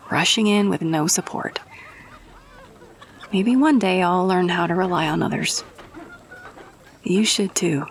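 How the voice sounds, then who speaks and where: A woman's voice: lowish voice, gravelly, slight background noise. A woman playing a friendly, grateful rogue-for-hire in a fantasy audio roleplay, talking casually and chummily to the listener. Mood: rueful.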